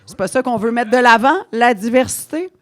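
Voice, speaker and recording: A woman's voice: on the high side, a little fast, fairly bright tone. A young woman with a Québécois French accent, co-hosting a comedy podcast with sarcastic, teasing remarks. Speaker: podcast co-host. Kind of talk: casual comedic chat.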